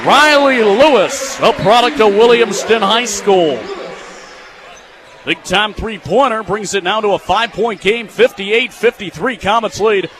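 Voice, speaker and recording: A young man with an American accent calls basketball play-by-play in a high-pitched voice. His phrasing is clipped and excitable, built for live radio. Clear venue noise and court sounds sit behind him. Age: young adult.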